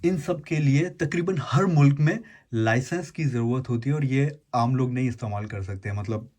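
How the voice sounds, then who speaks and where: Husky, mellow man chatting through an unboxing. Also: mid-range voice, moderate pace. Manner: informal, mixes English words into speech. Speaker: YouTube host unboxing and reviewing gadgets. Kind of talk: casual chatty unboxing explainer.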